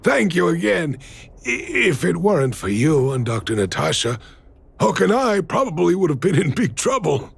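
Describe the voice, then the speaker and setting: An actor voicing a weary, gentle old miner and father in a video game, hesitant and sighing, with a gravelly, husky voice. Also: on the high side, very animated, normal speed, full character voice. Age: older.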